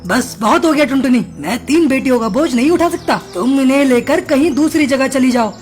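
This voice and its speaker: A woman's voice: sits high, fairly quick, darkish tone, soft background music, natural voice. A woman voicing a bullying male crow. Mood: harsh. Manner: blustering, demanding.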